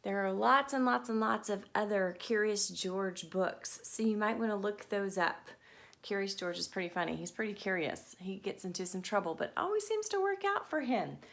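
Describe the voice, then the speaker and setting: A woman storytime teacher reading a picture book to children, expressive and deliberate, with chatty asides and questions. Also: medium pitch, gravelly.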